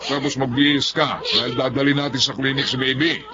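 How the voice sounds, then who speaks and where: A man's voice: mid-range voice, flat delivery, brisk, over a noisy crowd, lightly acted. A man acting a middle-aged father and grandfather in a Tagalog radio drama, exasperated but caring as he minds a baby. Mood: firm, calming. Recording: noisy, dull lo-fi radio drama audio.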